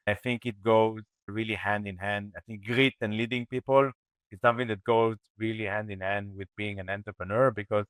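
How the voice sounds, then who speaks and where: A man's voice: on the low side, fairly even intonation, brisk, a bit bright, clean tone, no echo. A man from Israel, a startup founder, answering interview questions in a thoughtful, reflective way. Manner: thoughtful, reflective, with frequent restarts. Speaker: startup CEO guest. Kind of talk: podcast interview.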